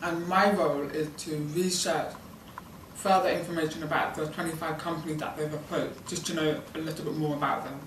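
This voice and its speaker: A woman's voice: low-pitched, fairly even intonation, fairly quick. A nineteen-year-old British woman whose first language is British Sign Language gives informal interview answers, hesitant and rambling with many ums and likes. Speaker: student intern giving an interview. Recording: echoey room, distant mic, background noise.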